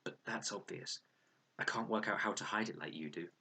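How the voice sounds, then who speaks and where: A blunt, uneasy young girl in a children's story, acted out by a man. The voice sits raised and slightly husky, with a wry edge. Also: on the low side, brisk, sharp tone, voice pushed up, full character voice.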